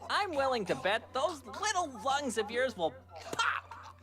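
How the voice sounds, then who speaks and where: A man's voice: extremely high pitch, sing-song, a little slow, heavily acted. A man voicing a gleefully menacing young male cartoon pony in a fan fiction audio drama, drawing out mocking threats with chuckles. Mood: sinister taunting. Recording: music behind the voice.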